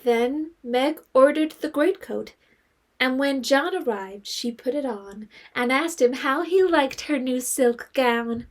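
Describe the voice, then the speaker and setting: A woman's voice: sits high, slow. A woman playing the composed storyteller of a period novel, narrating expressively and articulately in an audio drama. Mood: lightly amused.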